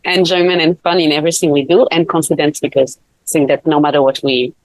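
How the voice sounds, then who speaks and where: Low-voiced woman, laughing easily. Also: steady tone, quick pace, darkish tone, rough recording. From Switzerland. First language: not English. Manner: laughs often, many fillers and restarts. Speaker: guest, life coach. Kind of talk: casual interview chat.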